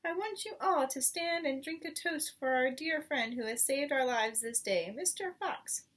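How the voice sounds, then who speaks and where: A woman's voice: high voice, expressive, normal speed, a bit bright, distant mic. A woman voicing a hearty, loyal male badger who declaims to friends in a children's storybook. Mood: hearty, celebratory.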